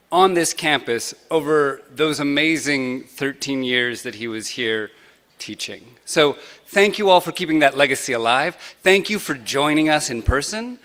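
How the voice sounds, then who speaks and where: A man hosting a live event on a campus, giving earnest thanks and introducing a guest, with halting fillers. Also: fairly high pitch, a bit bright. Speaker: host.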